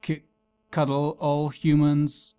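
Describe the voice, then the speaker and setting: A man voicing a robot that gives short commands in a slow, deliberate way. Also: fairly high pitch, completely flat delivery, mellow, clear, resonant tone, pitched above the performer's own voice. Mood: flat command.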